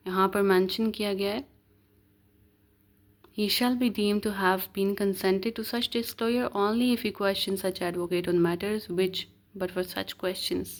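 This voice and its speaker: A woman with a Pakistani accent giving an explanatory law lecture, mixing in English phrases and often checking that listeners follow. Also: medium pitch. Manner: mixes English legal phrases into speech, frequent check-ins. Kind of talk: explanatory lecture, reading legal text aloud and explaining it.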